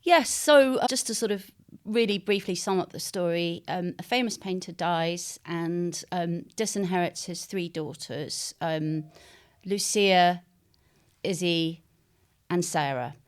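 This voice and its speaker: A woman speaking as an author in an interview, explaining her writing in a reflective, halting way. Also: fairly low pitch. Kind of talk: interview talk about own writing.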